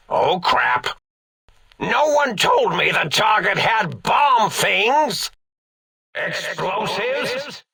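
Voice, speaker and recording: An actor playing a manic, cackling old mad scientist villain in a video game, theatrical and grandiose, with a raspy, gravelly voice and drawn-out delivery. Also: male voice, sits high, sharp tone, exaggerated voice. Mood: irritated, muttering.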